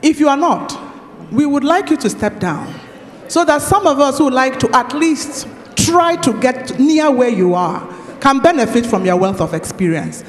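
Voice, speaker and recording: Very high-voiced deferential man. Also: lively intonation, moderate pace. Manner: polite, deferential, says "ma". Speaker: audience member asking a question. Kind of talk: conference question. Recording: echoey conference hall.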